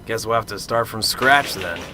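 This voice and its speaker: A cynical middle-aged male detective played by an actor in a radio drama. He is curt and sardonic, with a low, forceful voice. There is faint noise behind him. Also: moderate pace. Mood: blunt, businesslike.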